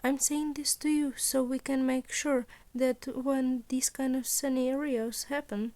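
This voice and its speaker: A woman voicing a calm, scheming teenage boy acting as principal, speaking confidently in a lecturing way. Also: high-pitched, measured pace.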